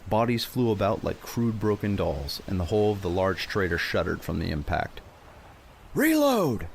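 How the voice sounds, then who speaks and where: A man's voice: deep voice, slight background noise. A man narrating a dramatic scripted adventure story as a storyteller.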